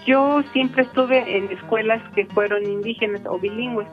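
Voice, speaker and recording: A woman from Michoacán, Mexico, whose first language is Purépecha, giving a reflective personal account in a thoughtful, unhurried way with frequent pauses. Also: on the high side, fairly even intonation, phone-quality sound, faint music behind. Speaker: interviewee.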